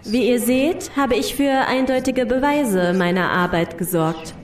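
A cold, self-assured killer, acted by a woman, speaks in a fairly high voice with an echo around it. Music plays behind this science-fiction audio drama role. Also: a little slow, lightly acted. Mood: smug. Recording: echoing room, music behind.

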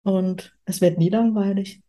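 A woman's voice: medium pitch, steady tone, a little slow, mellow. A woman from southwest Germany hosting a podcast, chatting spontaneously in an effusive, exclamatory way. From Kurpfalz, southwest Germany. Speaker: podcast host, spiritual medium. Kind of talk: casual, spontaneous podcast chat.

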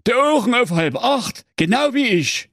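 A shifty Saxon sexton, as a male narrator puts him on, hemming and dodging in a lively voice. Close, dry sound. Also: very high-pitched, unhurried, no room sound, voice pushed up, full character voice. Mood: delighted. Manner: hesitant, evasive. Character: sly shifty sexton, middle-aged man.

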